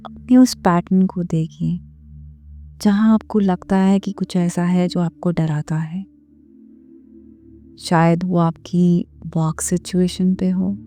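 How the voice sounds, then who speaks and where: A woman leading a guided meditation in a gentle, measured, soothing manner, with pauses between phrases. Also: fairly low pitch. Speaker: meditation guide and series host. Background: background music.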